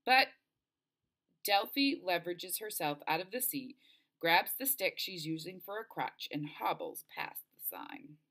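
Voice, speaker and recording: A woman reading a first-person children's novel aloud in an expressive storytelling style. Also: on the low side, measured pace. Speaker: story reader.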